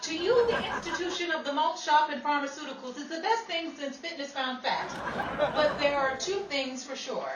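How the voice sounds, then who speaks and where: High-pitched sassy 1930s New York dame, played by a woman. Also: lively intonation. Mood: wry, teasing. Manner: fast-talking, theatrical, wordy wisecracks. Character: sassy, wisecracking 1930s New York actress named Betty. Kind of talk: 1930s screwball radio comedy acting. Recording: echoey, distant, noisy live room with crowd.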